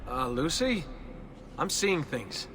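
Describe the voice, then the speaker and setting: A man's voice: fairly high pitch, very animated, slow, background noise. An actor playing a wry, questioning young man test subject in a video game. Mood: casual, sincere. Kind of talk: naturalistic video game acting.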